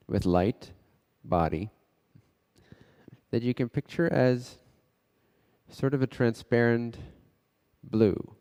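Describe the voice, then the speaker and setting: A man guides a meditation in a lowish, very soft-edged mellow voice. He speaks very slowly, in short measured phrases with pauses, and his words ring out in a long hall-like reverb. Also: clean tone.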